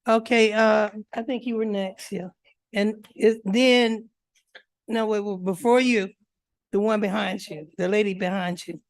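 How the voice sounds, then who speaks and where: A woman's voice: medium pitch, fairly even intonation, a little slow, dry recording. A woman chairing a legislative committee hearing, informal and chatty, calling up speakers with offhand asides.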